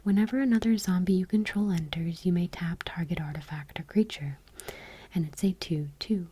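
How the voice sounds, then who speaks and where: Soft, husky whispering woman, ASMR. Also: lowish voice, moderate pace. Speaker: host of an ASMR channel. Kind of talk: ASMR soft-spoken card reading.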